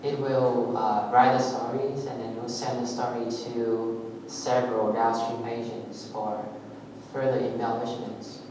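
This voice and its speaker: A man's voice: medium pitch, little pitch movement. Vietnamese man speaking in an echoey hall. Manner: halting, many fillers and restarts. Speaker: meetup speaker. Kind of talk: technical presentation with live demo. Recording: echoey hall, voice far from mic, audible noise.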